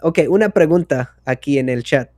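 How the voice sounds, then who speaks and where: A man hosting a podcast in casual chat, a Spanish learner with as his who often pauses to search for words. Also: fairly high pitch, animated, a little mellow, dry recording, studio quality.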